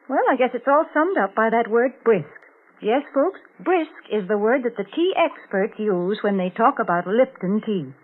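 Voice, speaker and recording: A woman announcer giving a chatty, folksy sponsor pitch in 1940s radio style, on a tinny old recording. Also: slightly rough. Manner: chatty, folksy, emphatic salesmanship. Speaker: commercial announcer and co-host.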